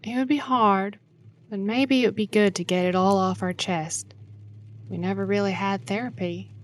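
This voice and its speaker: A woman's voice: mid-range voice, steady tone, moderate pace, natural voice. A woman playing an older wife and grieving mother, frank and consoling, in an audio drama. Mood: gentle.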